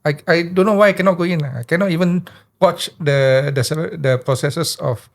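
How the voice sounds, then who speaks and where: A man's voice: fairly high pitch, brisk, creaky. A man, a paranormal investigator earnestly recounting an experience on a podcast. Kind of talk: casual podcast chat.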